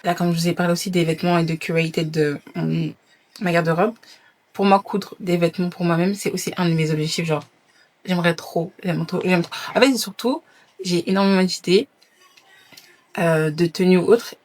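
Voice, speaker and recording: A young woman vlogging in a relaxed, chatty way, her voice sitting a little low. She slips English phrases into her casual talk. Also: moderate pace. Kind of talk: casual vlog chat.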